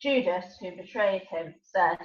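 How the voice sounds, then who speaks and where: A woman's voice: fairly low pitch, a little slow. A woman giving a scripted narration of scripture as the narrator of a dramatised reading. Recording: echoey room, dull lo-fi sound.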